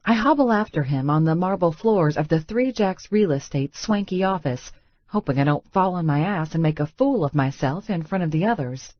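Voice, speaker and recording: Expressive low-pitched woman narrating fiction. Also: normal speed, dry recording, highs cut off. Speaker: audiobook narrator. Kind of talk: first-person scripted fiction narration.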